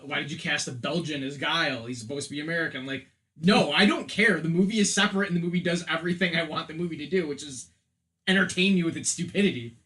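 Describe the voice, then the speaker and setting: Podcast co-host, male, 30s. Slightly high register, loose rambling delivery, joking and opinionated. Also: sharp tone. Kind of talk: casual opinionated film chat.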